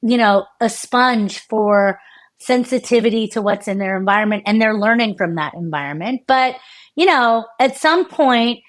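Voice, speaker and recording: Casting: American woman researcher, middle-aged, lively and chatty, frequent fillers and self-corrections. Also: on the high side, normal speed. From United States. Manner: animated, many fillers and restarts, laughs often. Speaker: neuroscientist guest. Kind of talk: conversational interview explanation.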